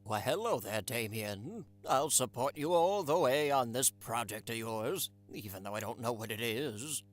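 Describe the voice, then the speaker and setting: Man playing an eager, chatty professor, medium-pitched. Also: lively intonation, normal speed, soft background music, natural voice. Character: eager, supportive male professor and inventor. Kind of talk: amateur audio drama acting.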